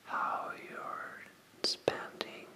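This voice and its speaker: Slow, whispering ASMR creator. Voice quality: pure breathy whisper. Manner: hesitant, halting delivery with repetitions. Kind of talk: whispered ASMR talk to viewers.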